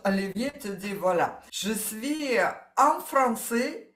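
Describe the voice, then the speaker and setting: A woman from Ukraine with a Ukrainian accent, a guest in an interview, talking slowly and searching for her words, often with a small laugh. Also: fairly high pitch, lively intonation, fairly bright tone, reverberant. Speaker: interview guest, coaching client. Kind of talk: testimonial interview.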